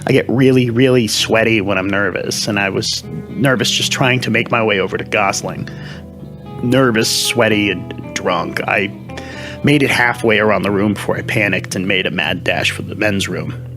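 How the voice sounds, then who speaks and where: A man's voice: on the low side, moderate pace, music in the background, lightly acted. A man playing a nervous, self-pitying entertainment reporter who stammers and rambles confessionally on a parody TV newscast. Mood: ashamed, despairing.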